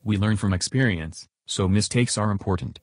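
An earnest chatbot reenacted by a man speaks in a lowish, husky voice. It gives short, philosophical, matter-of-fact answers as a podcast guest, in a dry recording. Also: normal speed, no echo. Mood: earnest, measured. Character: earnest, philosophical male chatbot being interviewed.

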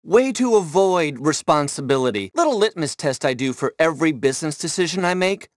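An actor playing a self-important, intense tech tycoon leading missions in a video game, pompous, emphatic and humorless. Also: male voice, fairly high pitch, expressive, fairly quick, no echo, studio quality, lightly acted. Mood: indignant.

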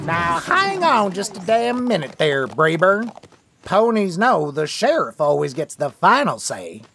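A practical, no-nonsense male pony sheriff , as a voice actor plays him. He speaks bluntly in folksy terms. His intonation is lively. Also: mid-range voice, unhurried, slight background noise, heavily acted.

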